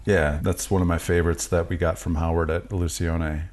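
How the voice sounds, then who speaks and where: A man hosting a casual podcast from a home lounge, relaxed and chatty, asking short follow-up questions. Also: sits low, moderate pace, slight background noise. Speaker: podcast host.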